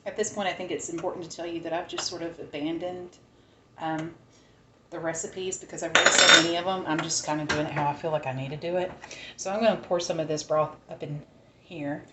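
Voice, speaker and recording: A woman's voice: low voice, fairly even intonation, brisk, pushed and bright, echoey room, slight background noise. A woman with a Southern American accent, chatting casually and thinking aloud as she cooks in her home kitchen. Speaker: home cook. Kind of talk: casual cooking vlog chat.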